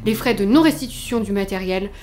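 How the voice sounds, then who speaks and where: A woman's voice: medium pitch, animated, fairly quick, darkish tone, lightly acted. A woman playing an eccentric ski chalet employee in an audio comedy, giddy and officious, with sing-song and bureaucratic delivery. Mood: officious, evasive. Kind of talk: French comedy audio drama acting.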